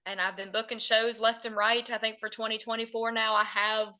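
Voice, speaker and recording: A woman's voice: a little high, little pitch movement, brisk, sharp tone. A young woman from Virginia with a Southern Appalachian accent, a country singer chatting earnestly as a podcast guest. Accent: Southern Appalachian American. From Virginia, USA. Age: young adult. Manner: chatty and earnest, rambling run-on answers. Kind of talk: casual podcast interview.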